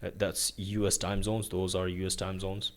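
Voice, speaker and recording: A young man from Pakistan talks through his daily routine in a loose, rambling vlog, leaning on fillers and restarts. His voice sits a little low. He is recording in his bedroom on a phone set up on a tripod. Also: flat delivery, moderate pace. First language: Urdu is his country's language, but not his first language. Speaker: vlogger. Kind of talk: casual day-in-the-life vlog.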